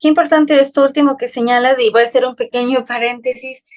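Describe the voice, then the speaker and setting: A woman's voice: high-pitched, steady tone, quick pace. A woman from Ecuador hosting an interview over a video call, responding in an engaged, affirming way. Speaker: host. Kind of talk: interview chat.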